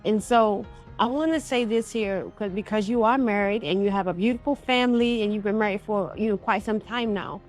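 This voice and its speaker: A woman hosts a talk show in a folksy, conversational style. Her voice sits at an average pitch for a woman, with faint music underneath. She affirms what is said and speaks straight to her listeners. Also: a little fast. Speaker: radio show host. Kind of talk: conversational interview.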